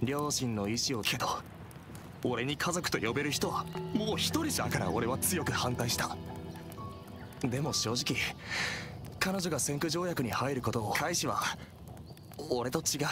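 An actor playing a timid, remorseful young man in a game cutscene, speaking softly and blaming himself. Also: mid-range voice, lively intonation, moderate pace, long pauses, music in the background, lightly acted. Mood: regretful. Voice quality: soft, self-reproaching. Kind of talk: Japanese game voice acting.

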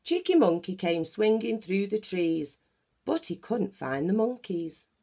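A woman reading a children's picture book aloud in an animated, deliberate manner. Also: medium pitch, creaky, husky. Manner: animated, deliberate, acts out sound effects. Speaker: children's story reader. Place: small bedroom at home, indoors.

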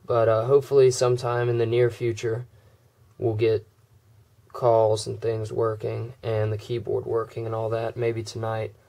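A man with a cold, his voice going hoarse, casually walking through a phone's software and filling gaps with uhs and ums. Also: fairly low pitch, normal speed. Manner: relaxed, many "uh" and "um" fillers. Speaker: tech video creator. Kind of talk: casual screen demo walkthrough.

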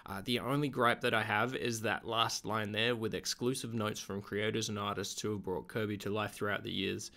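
A young man, slightly hoarse from being sick, chatting in a relaxed, rambling way with lots of ums in a video diary at home. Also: lowish voice, normal speed, hardly any pauses. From Australia. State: slightly hoarse, getting over an illness. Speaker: vlogger.

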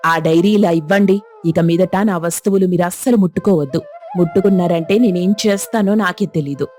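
A woman's voice: on the low side, a little fast, warm tone, soft background music, close to an everyday voice. A woman voicing an exasperated middle-aged mother-in-law who scolds and complains in a dramatized cartoon story. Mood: angry.

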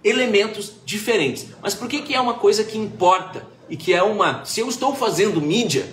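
A man giving a casual, animated online marketing class, full of fillers and restarts, recorded on a webcam. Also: high-pitched, a little fast. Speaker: marketing consultant presenting a live class. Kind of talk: informal webinar lecture. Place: small indoor room. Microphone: webcam-framed at desk, video call. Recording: echoey room with faint background noise.

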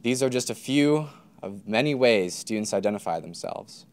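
Young American male, twenties, student leader, formal scripted address, crisp and resonant delivery. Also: fairly low pitch, expressive, normal speed. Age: 20s. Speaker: student government president giving a commencement speech. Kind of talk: prepared formal speech. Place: indoor stage at a podium.

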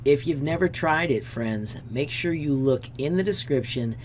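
A man's voice: on the high side, lively intonation, moderate pace, a little hiss or hum. A man in his thirties, a teacher hosting his own channel, giving an enthusiastic screen-share update and calling viewers friends. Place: indoor room.

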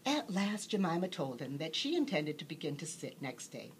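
A woman narrates a bedtime story in a low-pitched voice with lively, swinging intonation. Her storytelling is expressive, and there is faint noise in the background. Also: normal speed.

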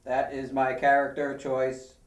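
A young man playing a stubborn method actor who delivers everything in a stiff, deadpan robotic manner in a comedy sketch. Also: medium pitch, unhurried, close to an everyday voice. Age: young adult. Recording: echoey room, distant mic.

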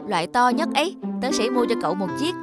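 A young cartoon schoolboy speaks in a high, boyish voice. He is expressive, mischievous and quick to get flustered. Music plays behind him. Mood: excited scheming. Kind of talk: children's cartoon dialogue.

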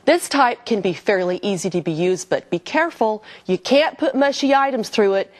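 A middle-aged woman hosts a cooking demonstration in a kitchen, talking straight to the viewer. Her pitch sits in a middle range but moves a great deal, rising and falling with each point she makes. She sounds upbeat and explanatory. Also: lively intonation, moderate pace. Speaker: cooking show host. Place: home-style kitchen studio.

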